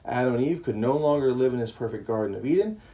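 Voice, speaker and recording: A man's voice: medium pitch, lively intonation, fast talker, dark tone, dull audio. A man hosting a family livestream, chatting casually and reading a bedtime story in an informal, explanatory way.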